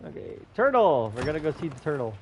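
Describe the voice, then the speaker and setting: A man's voice: on the high side, sing-song, quick pace, a little mellow, dull audio, a little hiss or hum. A man giving casual, informal gameplay commentary as he plays, reacting aloud to the game. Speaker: game streamer, player.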